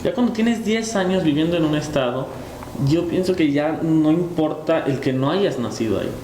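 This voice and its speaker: A Mexican man from Jalisco argues on a debate panel, restating his conclusions firmly. His voice sits a bit high. The room echoes, and clear background noise runs under him. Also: animated, normal speed, dark tone. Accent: Mexican Spanish. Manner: firm, repeats key points. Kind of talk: debate argument.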